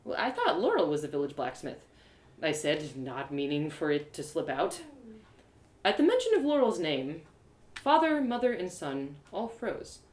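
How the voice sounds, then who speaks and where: A woman reading a first-person fantasy story live, in an expressive, confiding, dramatized narration. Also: deep voice, moderate pace. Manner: expressive, confiding, acted-out delivery. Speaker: storyteller and audio drama performer.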